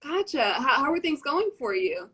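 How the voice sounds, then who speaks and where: Young woman, livestream host, high voice, very lively pitch, bubbly, gushing, casual chat. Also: moderate pace. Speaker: pageant titleholder hosting a fan livestream.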